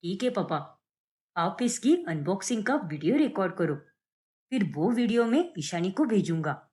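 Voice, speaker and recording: A woman's voice: mid-range voice, very animated, normal speed, a little mellow, voice pushed down, natural voice. A woman voicing an agreeable, cheeky young adult son in a cartoon story, with a playful, knowing manner.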